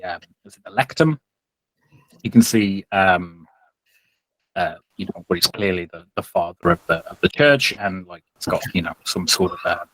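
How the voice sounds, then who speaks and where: A man's voice: low-pitched, fairly bright tone, no room sound, low-quality recording. A man narrating a tabletop game as game master, improvising his descriptions with some hesitation.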